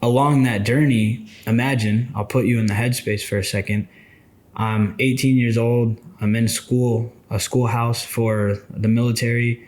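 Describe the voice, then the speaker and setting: Man, mid-twenties, somewhat low voice; unhurried, thoughtful mentor talking straight to camera. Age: 20s. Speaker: trading mentor. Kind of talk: casual reflective monologue.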